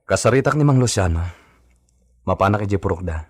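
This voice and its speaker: An actor playing an earnest, conciliatory young hacienda man, a dutiful son, in an Ilocano radio drama with a husky voice. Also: fairly low pitch, lively intonation, brisk.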